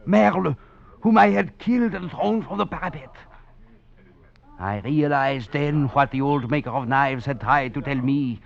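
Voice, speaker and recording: Haunted, haughty fugitive thief, low-voiced. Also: male voice, a little slow, gravelly. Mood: feverish, haunted. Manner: theatrical, grandiose, brooding. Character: proud, half-crazed fugitive master thief, philosophical and haunted. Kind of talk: 1940s radio drama narration. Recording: dull vintage 1940s radio with music behind.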